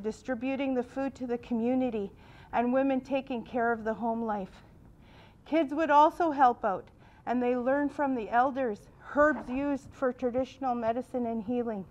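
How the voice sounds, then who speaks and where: A woman's voice: sits high, moderate pace, lo-fi sound. Woman facilitator with a big projecting voice. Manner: loud projecting voice without a microphone. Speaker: workshop facilitator. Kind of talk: guiding a group exercise. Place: large event room.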